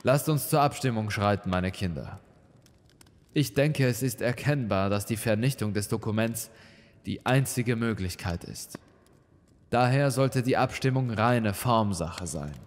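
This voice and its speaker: A man's voice: fairly low pitch, unhurried, in a large hall, natural voice. A man voicing an older monsignor in an audio story, solemn, formal and insistent. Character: grave monsignor urging secrecy, older churchman. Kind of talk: dramatic audio story dialogue.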